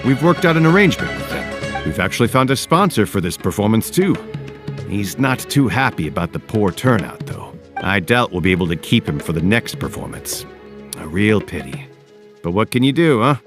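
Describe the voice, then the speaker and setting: An earnest game theater troupe leader, low-voiced but lively, apologetic and eager by turns. Soft game music plays behind him. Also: male voice, normal speed. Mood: friendly. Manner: earnest and apologetic, easily excited. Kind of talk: video game cutscene dialogue.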